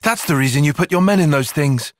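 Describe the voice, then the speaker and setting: An actor playing a bookish young male soldier-tactician in a video game, with a British accent and a measured, formal, dry way of speaking. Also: on the high side, no room sound, lightly acted. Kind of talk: video game cutscene voice acting.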